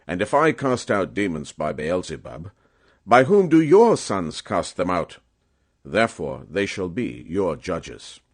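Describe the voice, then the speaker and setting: A man voicing a stern, authoritative holy teacher in a scripture audiobook, forceful, solemn and declamatory. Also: deep voice, sing-song, unhurried, exaggerated voice. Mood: firm.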